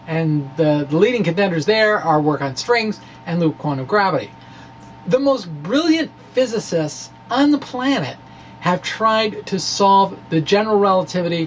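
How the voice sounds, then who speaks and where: A man's voice: high-pitched, slight background noise, poor audio. A middle-aged man giving a dramatic blog reading with casual asides, in a theatrical, wry and emphatic manner. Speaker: science blogger and presenter. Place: small indoor room with bookshelves. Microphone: microphone on a stand in front of him.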